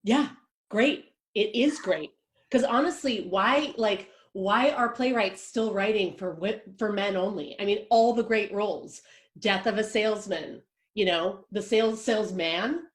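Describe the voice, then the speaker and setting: A woman's voice: medium pitch, measured pace, close to an everyday voice. A woman playing an anxious, theatrical playwright-director who gushes and rambles dramatically. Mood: excited. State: panicked shouting near tears.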